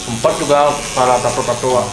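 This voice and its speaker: Man, slight Javanese accent, relaxed and chatty, laughs a lot, roomy studio echo, loud music underneath. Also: mid-range voice, a little fast. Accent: Javanese-tinged Indonesian. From Bali, Indonesia. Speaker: tattoo artist and studio owner. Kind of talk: casual chat while tattooing. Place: tattoo studio indoors. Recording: echoey room, loud background music.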